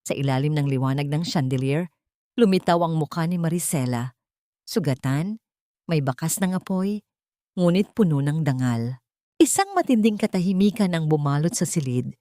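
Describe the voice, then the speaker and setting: A woman's voice: low voice, expressive, moderate pace, warm tone, no room sound, clean studio sound. A woman with a Filipino accent reading a dramatic scripted story as its narrator.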